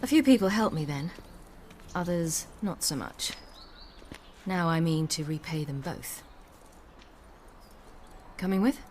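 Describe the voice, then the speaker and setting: A woman's voice: deep voice, animated, slow, long pauses, a little mellow, a little hiss or hum. An actress playing a resolute young woman warrior bent on payback in a fantasy video game.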